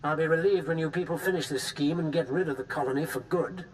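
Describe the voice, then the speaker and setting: An actor playing a disdainful, pragmatic Imperial official with a haughty, clipped manner in scripted game dialogue. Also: male voice, on the high side, fast, close to an everyday voice. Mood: disgusted. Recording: distant, noisy game capture.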